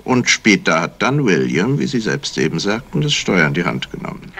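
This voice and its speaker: An actor playing a courteous, self-assured gentleman sleuth in a 1970s radio crime drama, polite and soothing. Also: low voice, big pitch swings, normal speed. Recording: vintage radio recording, faint hiss.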